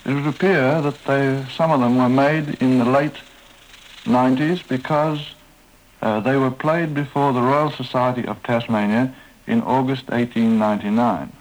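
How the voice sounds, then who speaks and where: A man answering interview questions as an expert, speaking carefully and pausing on fillers. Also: mid-range voice, fairly even intonation, low-quality recording. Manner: slow, careful, halting with fillers. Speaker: museum expert being interviewed. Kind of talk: archival radio interview.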